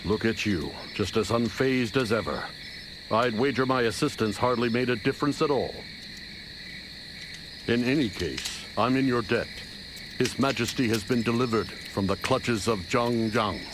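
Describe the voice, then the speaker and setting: An actor playing a proud, principled noble officer in a video game, speaking in a formal, courtly and earnest way. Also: male voice, medium pitch, normal speed, noisy background, lightly acted. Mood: admiring. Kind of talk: dramatic video game acting.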